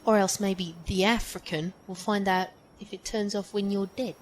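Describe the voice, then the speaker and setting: Woman acting tough soldier, audio drama, cocky, wry, mid-pitched, rumbly background noise. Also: steady tone, moderate pace, close to an everyday voice. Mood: threatening. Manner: confident, wry. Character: tough, cocky female soldier, threatening and loyal.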